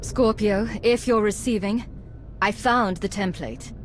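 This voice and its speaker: A woman's voice: medium pitch, a little slow, slight background noise, lightly acted. An actress playing a composed, determined female commander in a video game, level and direct. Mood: focused.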